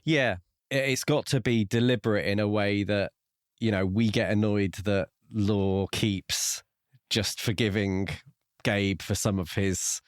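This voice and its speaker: Guy with a lowish voice on a book podcast, thinking out loud, dry close mic. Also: fairly even intonation, unhurried, smooth, no echo. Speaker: podcast host. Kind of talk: casual book review discussion.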